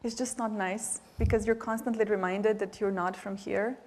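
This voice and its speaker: A woman's voice: medium pitch, fairly even intonation, moderate pace, a little mellow. Bosnian-accented woman in an echoing hall. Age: 40s. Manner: heartfelt, hesitant, many fillers and restarts. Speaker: panelist, nonprofit director. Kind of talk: earnest public remarks. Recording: reverberant event hall.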